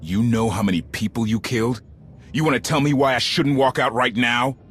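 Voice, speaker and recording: Man acting an indignant rebel with mid-pitched voice. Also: steady tone, fairly quick, a bit bright, faint music behind, lightly acted. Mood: angry, accusing. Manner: accusing, earnest. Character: indignant, principled male rebel challenging his leader. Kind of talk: video game cutscene acting.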